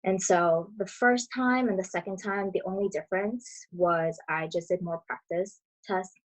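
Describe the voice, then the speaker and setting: A woman in her twenties, born in Brazil and raised in Florida, giving relaxed, chatty interview answers as a dental student over a video call. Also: medium pitch, normal speed, rough recording. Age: 20s. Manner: chatty, relaxed, many fillers.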